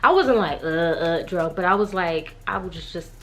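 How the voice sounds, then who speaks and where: A woman's voice: on the low side, animated, normal speed, faint music behind. A young woman telling a story in a casual, slangy, gossipy way, acting out bits of dialogue as she goes. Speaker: vlogger. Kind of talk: animated casual storytime chat.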